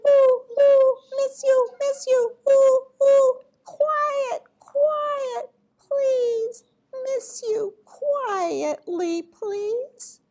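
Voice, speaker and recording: Woman voicing a very high, fretful hooting owl. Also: warm tone, heavily acted. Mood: pleading. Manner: plaintive, drawn-out, repetitive cries. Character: fretful sleepy owl, male, grumpy yet lonely. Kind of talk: children's storytelling.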